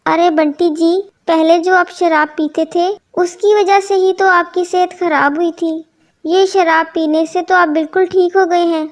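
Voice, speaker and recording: A woman's voice: extremely high pitch, steady tone, measured pace. A woman voicing a long-suffering, devoted young wife bird in a cartoon story, earnest in manner. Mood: caring. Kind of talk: Hindi cartoon story dubbing.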